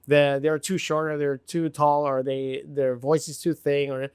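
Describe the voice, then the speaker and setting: Smooth-voiced man hosting a chat. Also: a little high, little pitch movement, measured pace, dry recording, studio quality. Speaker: podcast host. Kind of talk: casual interview.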